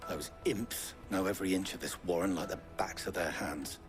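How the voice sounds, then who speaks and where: A man's voice: sits low, steady tone, moderate pace, soft background music, lightly acted. An actor playing a knowing, matter-of-fact male furniture maker in a fantasy video game, speaking colloquially. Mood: wry, knowing. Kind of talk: dramatic video game acting.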